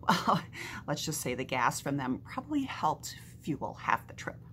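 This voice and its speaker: A middle-aged woman reading a first-person story aloud in an animated, conversational storytelling manner, recorded indoors on a webcam. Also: sits low. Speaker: author reading from own book. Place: small home room, indoors. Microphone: webcam at desk distance. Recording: faint background noise on webcam.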